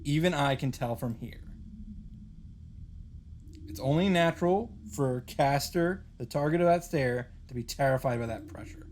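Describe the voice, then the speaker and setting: A man's voice: medium pitch, moderate pace, darkish tone, slight background noise. A man reading a visual novel story aloud as a host, in a dramatic narrating style.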